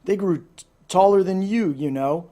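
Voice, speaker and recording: A man's voice: high-pitched, normal speed, mellow, close to an everyday voice. A man voicing gabby old green snakes who boast and reminisce in a children's storybook. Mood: boastful.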